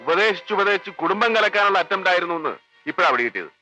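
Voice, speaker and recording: A man's voice: high voice, animated, rapid-fire, pushed and bright, faint music behind, full character voice. An actor playing an angry, defensive male film director who turns contemptuous with a raised voice, heard from some distance in a 1980s film.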